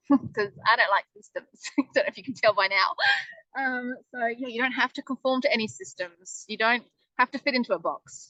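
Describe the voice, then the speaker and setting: A woman's voice: fairly high pitch, bright, forceful tone, no room sound, low-quality recording. A woman presenting a casual webinar in a chatty, upbeat way, with lots of ums. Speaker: online business presenter.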